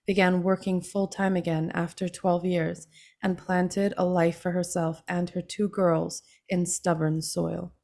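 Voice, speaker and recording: A young woman from Trinidad reads from her own memoir in a lower voice, measured and earnest. It is a video call recording, and she goes on to answer panel questions in the same steady manner. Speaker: author reading and answering.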